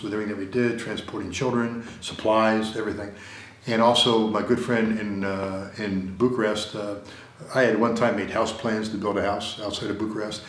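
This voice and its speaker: Rambling American man, roomy studio. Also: fairly low pitch. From United States. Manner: rambling, repeats words, many fillers. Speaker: interview guest. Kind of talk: casual conversational interview. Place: recording studio room.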